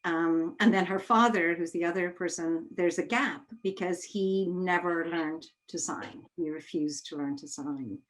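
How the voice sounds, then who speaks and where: Lower-pitched woman, thoughtful interviewer. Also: unhurried, few pauses, poor audio. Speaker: interviewer, theatre artistic director. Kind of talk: conversational interview.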